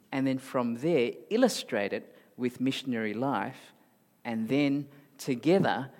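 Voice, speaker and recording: A man from Malaysia with a mixed Malaysian, Singaporean and Australian accent, preaching a sermon emphatically and rising to loud high emphasis. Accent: mixed Malaysian, Singaporean and Australian English. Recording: hall reverb.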